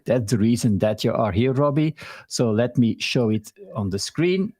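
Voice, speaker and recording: A man with a Belgian accent hosting a live-stream interview, halting with many fillers and laughing often. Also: on the low side, husky. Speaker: host.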